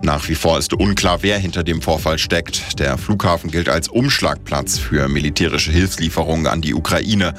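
A man reading the morning radio news in a crisp, measured way. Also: very deep voice, a bit bright, soft background music. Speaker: newsreader.

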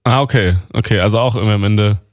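Man, lowish voice, interviewer, chatty, winding questions, dry close sound. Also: fast talker, dry recording.

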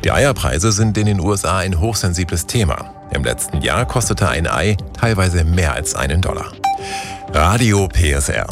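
A man reading a radio news bulletin in a calm, measured, formal way. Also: deep voice, over loud music. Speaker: newsreader.

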